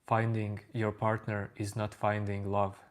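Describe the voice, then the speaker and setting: A man with a Latvian accent, hosting a livestream and calmly answering chat questions about self-inquiry. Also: on the low side. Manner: unhurried, even, reading out chat names. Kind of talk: slow calm spiritual chat.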